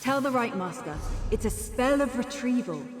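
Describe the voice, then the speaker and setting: A woman's voice: a little high, lively intonation, music in the background. A woman playing a secretive, calculating otherworldly envoy in a video game, formal and conspiratorial, with an otherworldly reverb on her voice. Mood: coolly instructive. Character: female daedric envoy, secretive, calculating, coolly commanding otherworldly schemer. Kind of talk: video game character dialogue. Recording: long reverb, loud rushing hiss behind.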